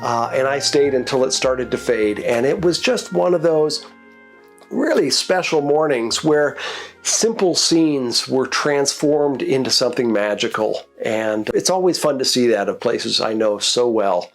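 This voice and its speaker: A man, a nature photographer and host of his own channel, sounds congested from a cold as he tells the stories behind his photos in a relaxed, reflective way. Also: medium pitch, a little slow, soft background music. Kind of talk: casual narrated photo recap.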